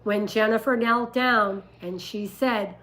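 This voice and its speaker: An older woman with a rough voice, telling stories and giving advice casually on a livestream, in an emphatic, deliberate way. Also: medium pitch, fairly even intonation, noisy background. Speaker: dog breeder and livestream host.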